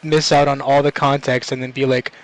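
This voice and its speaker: A man's voice: medium pitch, flat delivery, fairly quick, rough recording. A man commenting casually on the game he is playing, in a jokey, self-deprecating way.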